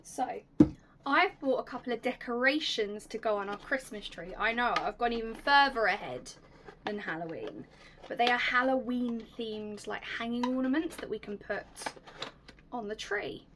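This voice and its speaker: A young woman hosting a casual unboxing vlog, chatty and enthusiastic, her voice slightly raspy and going hoarse. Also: a little high, animated, moderate pace, background noise. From United Kingdom. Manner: chatty, enthusiastic, drops to soft whispers at times. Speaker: YouTube host.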